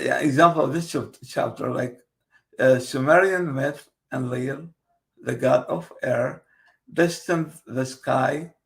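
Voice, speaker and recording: Male host, Kurdish native, gravelly, slow, hesitant. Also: mid-range voice. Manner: halting, many fillers and restarts, slow and careful. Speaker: host presenting his book. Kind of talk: casual video interview.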